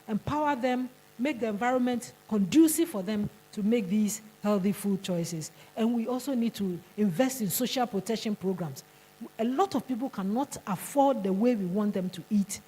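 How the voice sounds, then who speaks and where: A woman's voice: mid-range voice, normal speed, dark tone, husky, rough recording. A Ghanaian woman giving a conference presentation, emphatic and repeating words as she restarts her sentences. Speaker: conference speaker. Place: conference hall.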